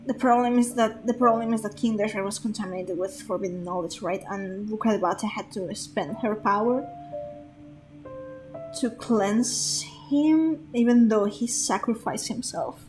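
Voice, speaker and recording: A woman's voice: mid-range voice, poor audio. A woman streaming a game, thinking aloud in a hesitant, casual way and laughing often. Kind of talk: gameplay commentary.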